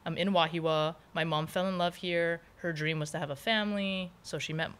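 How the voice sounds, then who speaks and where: A woman from Hawaii hosting a podcast, talking loudly and freely with plenty of opinions. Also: lowish voice, steady tone, a little fast, clean tone. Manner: loud, opinionated, talkative. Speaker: podcast host. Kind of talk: casual chat.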